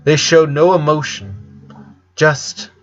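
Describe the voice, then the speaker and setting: A man reading a story aloud as an expressive narrator, voicing dialogue between passages of prose. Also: fairly high pitch, takes it slowly, muffled recording, faint music behind. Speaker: narrator reading fan fiction.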